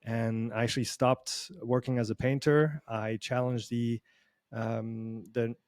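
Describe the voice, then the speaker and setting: Swiss man, faint canadian sound, middle pitch, rambling guest storytelling, dry close sound. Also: measured pace, no echo. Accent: Swiss with a slight Canadian sound. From Switzerland. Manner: relaxed, rambling storytelling with many fillers. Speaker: performance coach guest. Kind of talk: casual podcast interview. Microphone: video call.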